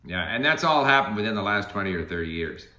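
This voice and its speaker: A man's voice: lowish voice, expressive, fast talker, bright, forceful tone. An older man chatting casually and thoughtfully about learning languages, often restarting his sentences. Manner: rambling, thoughtful, many restarts. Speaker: language learner being interviewed. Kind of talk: casual conversation. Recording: echoey room.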